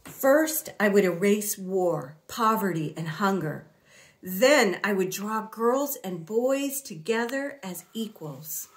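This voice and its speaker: Slow-paced woman reading a picture book aloud. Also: mid-range voice, animated. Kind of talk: expressive children's story read-aloud.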